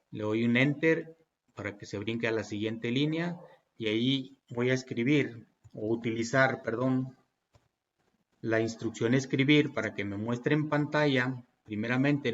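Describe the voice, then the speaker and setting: A man's voice: medium pitch, dull audio. A man teaching programming in a tutorial, walking through each step patiently with fillers and self-corrections. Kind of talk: screen-recorded tutorial walkthrough.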